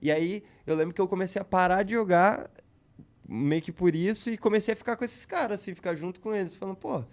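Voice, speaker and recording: A man's voice: high voice, fast. A man with a Brazilian Portuguese accent, a guest in casual podcast chat, telling a long story loosely with slang and many fillers.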